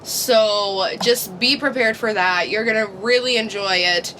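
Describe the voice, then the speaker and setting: A woman co-hosting a casual podcast, chatting in a relaxed, self-mocking way. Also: mid-range voice, measured pace, a little hiss or hum, low-quality recording. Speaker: podcast co-host.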